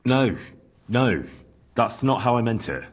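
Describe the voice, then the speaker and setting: An actor playing an earnest, cheeky young blacksmith's hand, polite and plain-spoken, in a video game. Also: male voice, medium pitch, very animated, takes it slowly, breathy edge, exaggerated voice. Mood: earnest, hesitant. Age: young adult. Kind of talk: video game dialogue acting.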